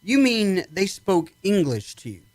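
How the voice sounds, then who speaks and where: A man's voice: sits high, very animated, measured pace, no echo. A man acting in an old-time radio drama as a probing, authoritative army psychiatrist with a clipped, commanding manner. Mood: probing, skeptical.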